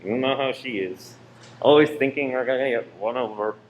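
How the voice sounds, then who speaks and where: A man's voice: mid-range voice, moderate pace, close to an everyday voice. Male actor as secretive, controlling cinema owner in radio drama; insistent delivery, echoey room, faint noise. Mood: urgent coaxing. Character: tense, secretive married man running a cinema saboteur scheme. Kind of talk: amateur radio play acting. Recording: roomy echo with faint noise.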